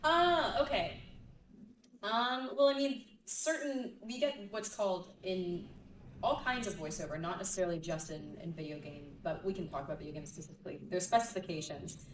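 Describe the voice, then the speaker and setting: A woman's voice: lowish voice, moderate pace, a little hiss or hum. A woman voice actor on a convention panel, thoughtfully explaining her craft through examples. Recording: echoey hall, mic from far off.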